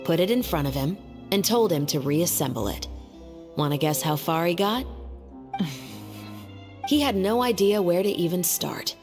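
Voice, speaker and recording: A woman playing a stern, principled young police captain in a video game, speaking firmly and in a measured way. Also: on the low side, in a large hall, close to an everyday voice. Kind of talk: dramatic game voice acting.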